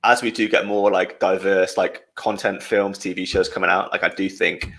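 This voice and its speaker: A man's voice: deep voice, little pitch movement, moderate pace, vocal fry, a bit bright. A young man from the English Midlands hosting a casual podcast, chatty and reading out viewer comments with frequent laughs. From England, Midlands. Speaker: podcast host.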